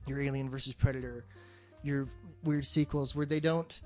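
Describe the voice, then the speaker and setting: A man's voice: mid-range voice, little pitch movement, moderate pace, a little mellow, soft background music. A man hosting a podcast in a casual, rambling movie discussion, swearing freely and sounding enthusiastic. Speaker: podcast host.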